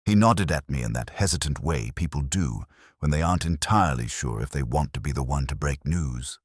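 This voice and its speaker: Male narrator, bottom-register voice, close dry sound, scripted detective fiction, measured, formal, brooding storytelling. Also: a bass voice, animated, breathy edge, dry recording.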